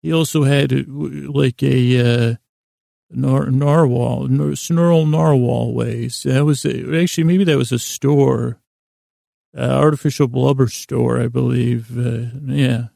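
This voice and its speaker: A man with a creaky voice hosting a sleep podcast, delivering a whimsical, meandering monologue that halts, trails off and doubles back absent-mindedly. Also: mid-range voice, steady tone, slow pace, warm tone, dry recording, studio quality. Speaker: podcast host.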